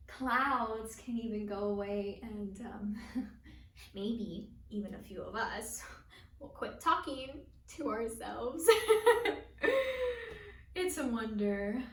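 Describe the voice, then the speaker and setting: High-pitched, unhinged giggling woman, acted by a teen girl. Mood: pleading, wistful. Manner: manic giggling delivery with abrupt swells. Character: unhinged laughing woman, giddy, rambling, lonely and wounded. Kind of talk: dramatic monologue performance. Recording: roomy, echoing, distant microphone.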